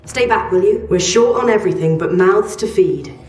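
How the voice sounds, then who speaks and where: A woman's voice: mid-range voice, moderate pace, darkish tone, natural voice. Actress as a brusque female arms dealer, roomy sound. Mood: disgruntled. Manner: blunt, dry, casual. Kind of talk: scripted game quest dialogue. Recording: roomy, distant, faint music.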